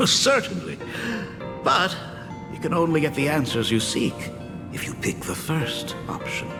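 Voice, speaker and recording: A fond, playful father from a video game, with a lively, swinging pitch and some gravel. He talks like an indulgent storyteller, in long reverb with music behind. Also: unhurried, heavily acted. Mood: storytelling. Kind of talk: dramatic video game voice acting.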